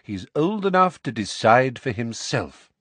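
A man voicing a reasonable grown man in an audiobook, measured and pointed in manner. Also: medium pitch, sing-song, fairly bright tone, husky, no room sound, voice pushed up, full character voice. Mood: reasoning. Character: reasonable, calm but sharp grown man peacemaker.

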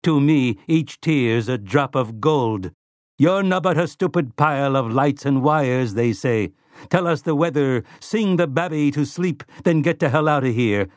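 A man's voice: mid-range voice, animated, a little slow, no echo, voice pushed up, close to an everyday voice. A man voicing a complacent, prissy male servant robot in an audiobook, with smug solicitude and silky menace. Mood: bitter, aggrieved.

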